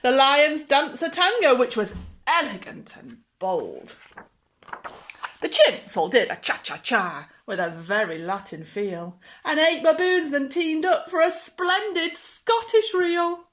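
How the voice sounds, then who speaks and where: Slow, expressive woman reading a storybook. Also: high-pitched. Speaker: teacher reading a story to pupils. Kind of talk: children's storybook read-aloud.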